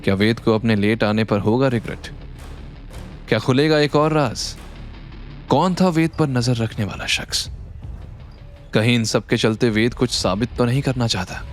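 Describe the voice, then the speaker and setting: A man's voice: medium pitch, normal speed, long pauses, soft background music. A man narrating a scripted drama story as its narrator, in a dramatic style.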